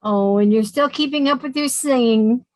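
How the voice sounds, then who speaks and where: A woman's voice: on the high side, normal speed, no echo, lightly acted. Woman doing an exasperated sensible young woman. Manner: exasperated, sarcastic. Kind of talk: 1950s radio sitcom acting.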